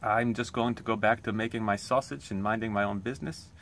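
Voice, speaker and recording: A man telling a comic story in an earnest, rambling way, pausing often. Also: lowish voice, steady tone, a bit bright, a little hiss or hum. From Poland. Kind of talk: comic monologue.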